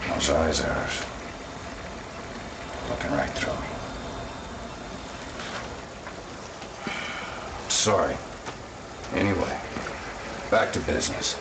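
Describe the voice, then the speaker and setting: Very deep-voiced weary soldier, noisy. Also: male voice, far from the mic. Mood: haunted, distracted. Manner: blunt, informal, grumbling. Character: gruff, weary, disillusioned male military field officer. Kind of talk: game cutscene briefing, conversational acting.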